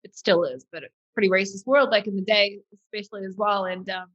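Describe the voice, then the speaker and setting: A woman in her thirties with a New Zealand accent, telling her story as a podcast guest in a lively, chatty way. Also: medium pitch, fairly quick, highs cut off. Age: 30s. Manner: lively and chatty, many fillers like "like" and "um". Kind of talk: casual interview storytelling.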